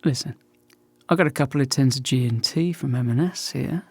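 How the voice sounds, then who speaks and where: A man's voice: medium pitch, brisk, creaky, a little mellow, husky, no echo. A wry, self-deprecating man in a dorm for a radio play. He speaks in a mid-range voice with a hesitant, conversational manner, recorded close and dry. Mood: gentle offering. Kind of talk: naturalistic radio play acting.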